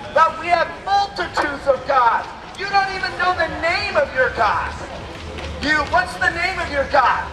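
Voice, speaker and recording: Brief: American male street preacher, very high-pitched accusatory shouting, echoing noisy street with crowd behind. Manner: loud, accusatory, taunting. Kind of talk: confrontational open-air preaching. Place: outdoors on a busy city street.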